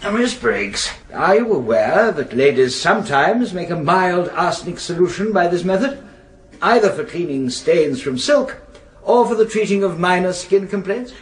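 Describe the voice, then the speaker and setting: An actor playing a suave defence barrister, cross-examining in formal, measured, leading questions in a radio drama. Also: male voice, high-pitched, animated, slight background noise. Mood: probing, formal. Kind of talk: radio courtroom drama acting.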